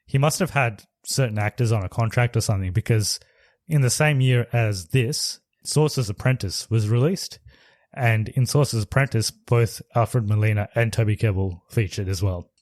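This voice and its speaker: A man's voice: lowish voice, darkish tone, dry recording, studio quality. A man co-hosting a podcast, chatting casually about films, rambling and hedging with frequent laughs. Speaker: podcast co-host. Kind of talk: casual movie review chat.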